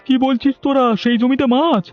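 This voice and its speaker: A man voicing an excitable older brother in a Bangla cartoon, eager and strained. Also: very high-pitched, fairly quick, highs cut off. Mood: surprised. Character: greedy older brother eager to sell flooded land.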